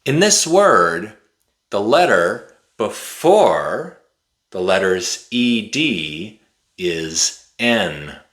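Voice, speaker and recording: A man in his forties giving an English pronunciation lesson to camera, enunciating deliberately and carefully like a teacher. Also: on the low side, sing-song, fairly bright tone. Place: small indoor room with bookshelf.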